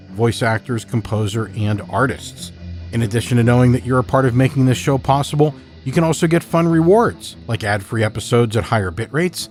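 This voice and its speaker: Male podcast host, measured and deliberate, mid-pitched voice, scripted intro read. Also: darkish tone.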